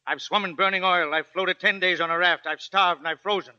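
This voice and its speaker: A man playing a gruff, war-weary older merchant captain in a 1940s radio drama. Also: sits high, steady tone, a little fast, bright, forceful tone. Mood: weary, resolved. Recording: dull 1940s radio broadcast recording.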